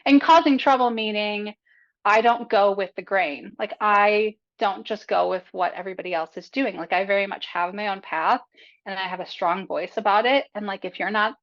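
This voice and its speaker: A woman's voice: medium pitch, moderate pace, a bit bright. A young adult woman speaking as a podcast guest in a candid, casual way, full of fillers and frank about her life. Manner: chatty, filler-heavy, frank and profane. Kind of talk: candid casual interview talk. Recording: dull lo-fi audio.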